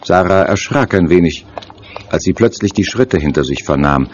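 A man's voice: sits low, expressive, moderate pace. A man narrating a suspense radio play in a scripted, dramatic storytelling style. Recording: dull sound with faint noise.

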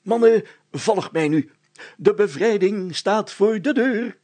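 A man's voice: high-pitched, animated, slow, a little mellow, pitched above the performer's own voice, heavily acted. A man voicing a pompous, bossy village mayor in a storybook, grandly declaiming like a self-styled hero. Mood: triumphant, commanding. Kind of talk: storybook character voice.

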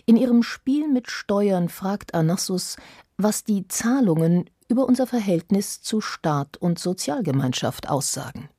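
A woman announcing a radio play in a measured, formal way. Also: mid-range voice, expressive, warm tone, dry recording, clean studio sound. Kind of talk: scripted announcement.

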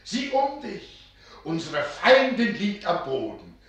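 Distant, booming, solemn spirit lord. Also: male voice, huge pitch swings, takes it slowly, fairly bright tone, exaggerated voice. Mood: solemn command. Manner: solemn, stately proclamation. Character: solemn, commanding salamander spirit, old archivist. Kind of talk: German radio play acting. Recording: booming echo from afar, faint music behind.

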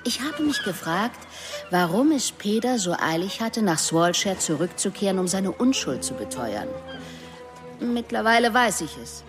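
Radio drama casting: actress for sardonic woman detective, sarcastic interrogator, animated melody in the voice, light music underneath. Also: mid-range voice, moderate pace, close to an everyday voice. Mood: accusing, triumphant. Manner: needling, sarcastic, rhetorical questioning. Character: sardonic, menacing female police detective interrogating suspects. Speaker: police investigator.

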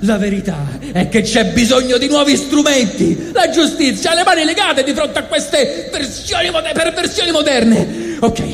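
A man's voice: very high-pitched, expressive, normal speed, hardly any pauses. A man with a Roman accent doing a sardonic, ranting comic monologue in theatrical style. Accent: Roman Italian. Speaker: storyteller reading comic strips on stage. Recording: hall reverb, loud music behind. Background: music.

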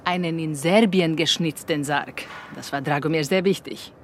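An actress playing a proud, sharp-tongued Serbian wife in a radio drama, scornful and cutting. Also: female voice, sits low, moderate pace, a little hiss or hum, close to an everyday voice. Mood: scornful, defiant.

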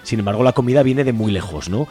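A man's voice: mid-range voice, animated, mellow, faint music behind. A man hosting a radio talk show, interviewing guests and a caller in a conversational way with frequent tag questions. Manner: brisk, leans on tag questions. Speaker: radio host.

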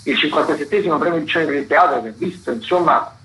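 A man hosting radio, presenting arts listings in a halting, repetitive way full of fillers. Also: fairly high pitch, a bit bright. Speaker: radio host. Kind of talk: radio arts listings commentary, loosely read. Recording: dull lo-fi radio broadcast.

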